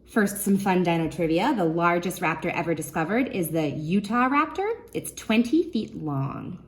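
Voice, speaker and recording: A woman children's librarian reading a nonfiction book aloud, expressively, pausing to put questions to young listeners. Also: on the low side, animated.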